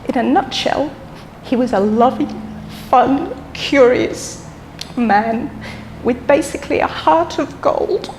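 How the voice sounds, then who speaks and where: A woman with a mixed London, Irish and Australian accent giving a heartfelt eulogy in measured, pausing speech. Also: sits high, darkish tone, long reverb tail, a little hiss or hum, low-quality recording. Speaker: eulogist, the deceased's daughter. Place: memorial service hall.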